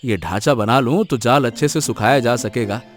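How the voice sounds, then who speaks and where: A man's voice: mid-range voice, normal speed, soft background music, lightly acted. A man voicing a cheerful, generous grown son in a village cartoon story, respectful and good-humoured. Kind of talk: Hindi cartoon story dialogue.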